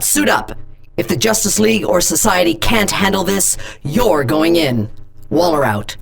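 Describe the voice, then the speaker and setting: A gravelly, commanding female agency chief. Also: lowish voice, full character voice. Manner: commanding, blunt, intimidating. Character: stern female government agency director. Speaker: game character. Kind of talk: game voice lines.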